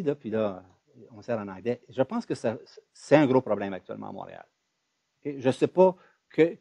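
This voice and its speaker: A man from Ontario, moderating an academic discussion in an emphatic, animated way. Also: medium pitch, dry recording. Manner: emphatic, animated, rising loud on key points.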